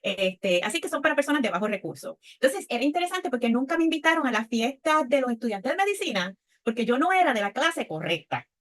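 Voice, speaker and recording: A woman's voice: sits high, very animated, fast, few pauses. An older woman with a Puerto Rican accent gives a reflective personal testimony on a panel, often checking in with short tag questions. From Puerto Rico. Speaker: panelist, women's leadership speaker.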